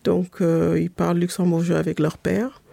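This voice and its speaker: A middle-aged woman answers in a measured, thoughtful way, her clear and her Pulaar. Her voice is heavily gravelly and dark, with a flat, even melody. The sound is dry and close, like a radio studio. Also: fairly low pitch, no room sound. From Senegal. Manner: measured, thoughtful, with many pauses. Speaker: guest.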